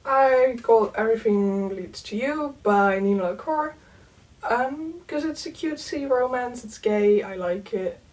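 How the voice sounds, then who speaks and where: A young woman whose first language is Dutch, chatting casually in a book vlog, full of "um" and "like" and soft laughs. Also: on the high side, unhurried, far from the mic, poor audio. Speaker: book vlogger. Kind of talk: casual chat.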